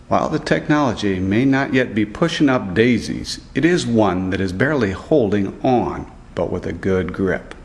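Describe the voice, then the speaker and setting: A man narrating a scripted report as a student reporter, reading aloud in a deliberate way. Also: fairly low pitch.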